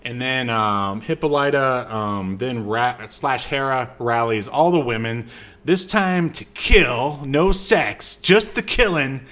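A man co-hosting a podcast, casually recapping a movie scene by scene, haltingly reading from notes with joking asides. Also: mid-range voice, sharp tone. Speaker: podcast co-host.

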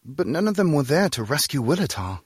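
A man's voice: a little high, big pitch swings, brisk, husky, no echo, clean studio sound. An actor playing a serious underling who guards and questions his villainous master in an audio drama. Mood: grimly questioning.

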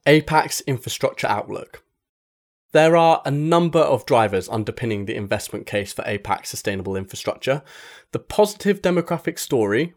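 A man reading a magazine article aloud as scripted narration, in a measured, formal delivery. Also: mid-range voice. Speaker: article reader.

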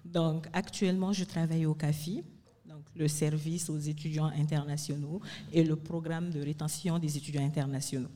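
A woman's voice: sits low, flat delivery, normal speed, warm tone. A woman from Mali, speaking conversationally as a guest at a live event. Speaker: panel guest, author. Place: live recording in a university bar. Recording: reverberant room, live event recording.

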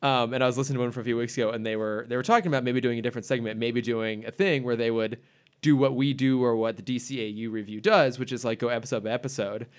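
A man's voice: medium pitch, fairly even intonation, fast talker, smooth. Playful laughing podcast host, young man. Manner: playful, laughs often, jokey teasing. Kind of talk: casual podcast banter.

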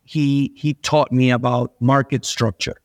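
A man's voice: medium pitch, little pitch movement, a little slow, no room sound. A middle-aged man from Venezuela, a guest answering interview questions with many fillers and restarts. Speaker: investment manager guest.

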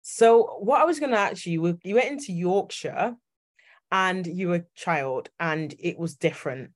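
Female podcast host, northern English accent, enthusiastic, conversational. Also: on the low side. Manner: enthusiastic, chatty, quick asides. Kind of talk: casual interview chat.

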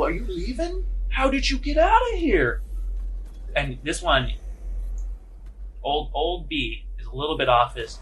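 Bright-voiced man running a tabletop game. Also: medium pitch, a little hiss or hum, rough recording. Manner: informal, halting, improvised phrasing. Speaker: game master. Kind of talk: narrating a tabletop role-playing game.

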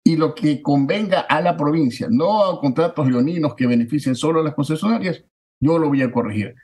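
Forceful man in his fifties. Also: fairly high pitch, lively intonation, a little fast. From Ecuador. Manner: forceful, declamatory, repeats phrases for emphasis. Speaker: political candidate. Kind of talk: campaign interview answers.

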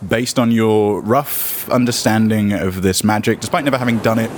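A man running a tabletop game as dungeon master, unscripted, jokey and quick to laugh between bits of narration. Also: fairly low pitch, steady tone, slight background noise.

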